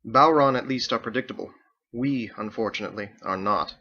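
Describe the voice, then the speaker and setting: A stern woman executioner from an audiobook, read by a man in his own low voice. The delivery is formal, measured and coldly logical, with a crisp, bright edge. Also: lo-fi sound. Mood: cold, composed. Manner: formal, measured, clipped without contractions.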